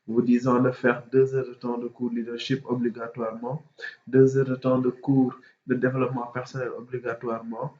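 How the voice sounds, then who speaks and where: Man, stuffy from a cold, halting explanations, dull echoey recording. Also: mid-range voice. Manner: hesitant, many fillers and repetitions. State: has a cold, congested voice. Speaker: student mentor. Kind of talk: informal explanatory video.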